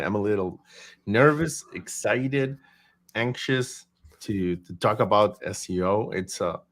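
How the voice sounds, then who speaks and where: A man from Argentina, a guest on a livestream, chatting upbeat and talkative with light humor over a video call. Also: fairly low pitch. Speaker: agency CEO, guest. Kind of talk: casual livestream chat.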